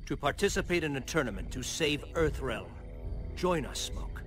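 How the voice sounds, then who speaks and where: An actor playing a solemn, wise thunder god protector, speaking with grave formality. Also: male voice, fairly high pitch, animated, normal speed, over music, natural voice.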